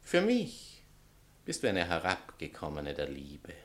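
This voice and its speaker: Puffed-up writer ex-lover in a satire, declaims everything, touchy and sneery. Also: male voice, deep voice, wildly swooping pitch, a little slow, fairly bright tone, heavily acted. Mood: sneering, scornful. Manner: pompous, theatrical, declamatory, swinging from outbursts to sneers. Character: vain, indignant bohemian male writer, scornful spurned ex-lover. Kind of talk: theatrical satirical comedy acting.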